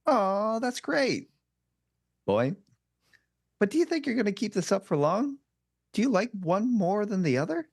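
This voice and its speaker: Woman as young male engineer, friendly, curious, supportive, very lively pitch, sci-fi comedy table read. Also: measured pace, long pauses, breathy edge, heavily acted. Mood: friendly, probing.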